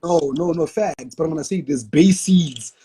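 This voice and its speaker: A man's voice: fairly high pitch, moderate pace, noisy background. A man from South Africa with a South African accent, a guest on a podcast, chatting loosely in laid-back slang with frequent restarts. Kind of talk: casual chat.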